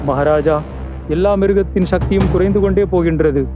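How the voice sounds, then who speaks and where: A man's voice: high-pitched, normal speed, music in the background, natural voice. A man voicing a worried forest animal with formal, bookish speech in a cartoon fable. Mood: worried, urgent.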